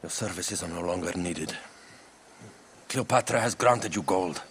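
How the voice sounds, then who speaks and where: An actor playing an apologetic, cautious go-between for rulers, placating and measured, in a game cutscene. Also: male voice, low-pitched, a bit bright, a little hiss or hum. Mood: regretful, pleading. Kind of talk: dramatic game cutscene acting.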